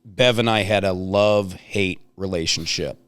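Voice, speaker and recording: A man's voice: sits low, moderate pace, a bit bright. A middle-aged man hosting a casual chat, telling anecdotes and joking as he prods his guests. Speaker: show host.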